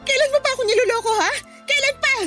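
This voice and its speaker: A woman's voice: extremely high pitch, fast, pushed and bright, soft background music. A woman acting a hurt, furious young woman in a Filipino radio drama, with an emphatic, accusing delivery. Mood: angry, accusing. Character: hurt, furious young woman confronting her cheating boyfriend.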